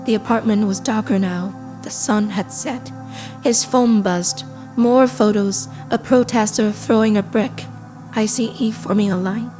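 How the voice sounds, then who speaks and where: A woman playing a calm, impassive AI assistant, narrating a scripted audio drama in a measured, detached, deliberate way. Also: medium pitch, close to an everyday voice. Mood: somber, measured. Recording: cinematic music behind. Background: cinematic score.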